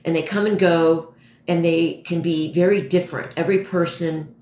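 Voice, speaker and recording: A woman in her forties with a hoarse voice, chatting casually and haltingly as she answers viewers on a livestream. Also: fairly low pitch, fairly even intonation, a little mellow. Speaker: livestream host. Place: dim living room at home, indoors. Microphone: phone propped a step or two away. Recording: echoey room, phone at a distance.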